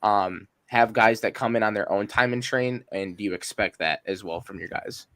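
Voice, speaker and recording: A man's voice: lowish voice, moderate pace, a bit bright, dry recording. A young man, a firefighter hosting a podcast, talking casually and hesitantly with plenty of ums and you-knows. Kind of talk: casual interview talk.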